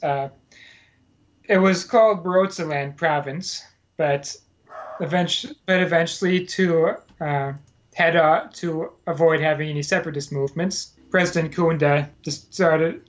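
A man in casual podcast conversation, telling about his time as a Peace Corps volunteer, rambling with frequent fillers and self-corrections. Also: fairly high pitch. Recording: dull lo-fi sound.

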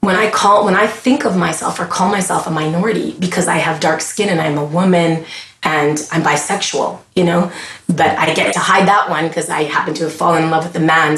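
A woman with a Canadian accent talks in a lowish voice with earnest conviction. She often stops and restarts her sentences as she works out what she means. The room around her sounds echoey. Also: slight background noise. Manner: earnest, impassioned, restarts often mid-thought. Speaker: podcast guest. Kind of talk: casual conversation.

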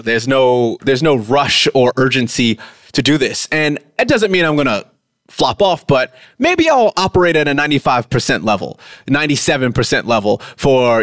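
A man's voice: medium pitch, a bit bright, no echo. Casual man in his thirties, dry sound. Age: late 30s. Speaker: podcast host. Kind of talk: casual conversational talk.

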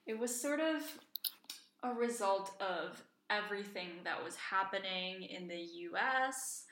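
A woman's voice: mid-range voice, animated, unhurried, fairly bright tone. A young adult woman from Iceland chatting casually and emphatically as a podcast co-host. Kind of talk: casual chat. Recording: roomy, echoey sound.

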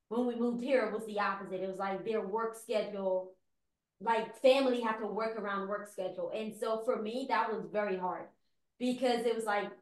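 A woman's voice: medium pitch, reverberant, low-quality recording. A woman from Guyana, a guest telling her story in candid casual chat, full of "like" fillers. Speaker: guest entrepreneur.

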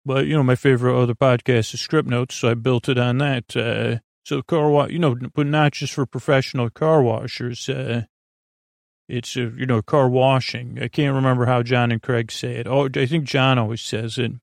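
Gravelly, halting, rambling man hosting a podcast. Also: medium pitch, fairly even intonation, dry recording, clean studio sound. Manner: halting and meandering, stammers and trails off into tangents; absent-minded, self-deprecating. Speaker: host of a bedtime sleep podcast. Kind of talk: rambling, improvised monologue.

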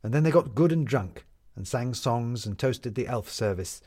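A man narrating a scripted story in a measured, lyrical storytelling manner. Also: mid-range voice, animated, darkish tone.

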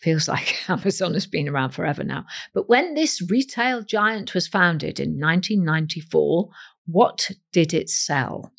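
A woman presents a scripted quiz, talking playfully and directly to listeners. Her voice is a little low and husky, with very animated pitch. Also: moderate pace. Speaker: quiz show host.

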